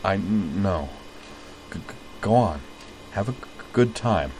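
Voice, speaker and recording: A bashful young woman, as a male narrator puts her on, who stammers on nearly every word and speaks quietly, raised a little above his own low voice. Her halting lines sit in an audiobook reading. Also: expressive, slight background noise. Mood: shy, quiet. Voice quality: stammering, soft and hesitant. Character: young woman with a heavy stutter, shy.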